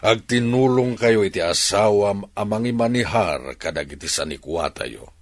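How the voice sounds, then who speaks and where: A man's voice: on the low side, expressive, moderate pace, no room sound, lightly acted. A man with an Ilocano accent playing an old landowning father in a radio drama. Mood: solemn, fond. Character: old ranch-owning father handing down his property.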